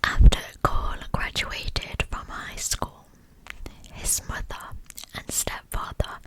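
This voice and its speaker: A woman whispering in a hushed, soothing way as the host of an ASMR true crime channel. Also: low-quality recording. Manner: hushed, soothing delivery meant for sleep.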